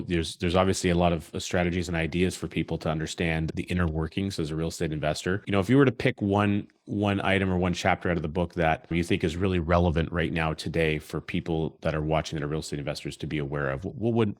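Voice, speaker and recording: A man co-hosting a podcast, asking slow, halting, rambling questions. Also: deep voice, steady tone, no echo, clean studio sound. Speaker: podcast co-host. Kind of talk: interview questions.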